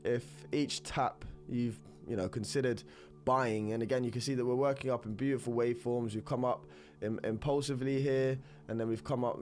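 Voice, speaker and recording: A man's voice: medium pitch, a little mellow. Stuffy-nosed man analysing charts. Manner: rambling, repeats words, upbeat. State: congested with a cold. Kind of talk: screen-recorded technical analysis talk.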